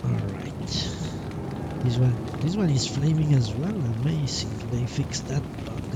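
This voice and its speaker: A man's voice: medium pitch, a little slow, very noisy. A man doing casual live commentary on a game, musing and muttering to himself in short fragments as he thinks aloud. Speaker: live streamer playing a role-playing game.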